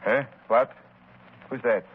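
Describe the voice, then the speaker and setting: An actor playing a frank older scientist in a 1930s radio drama, hesitant but matter-of-fact. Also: male voice, medium pitch, steady tone, slow pace, close to an everyday voice. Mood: startled. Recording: tinny, hissy 1930s radio broadcast.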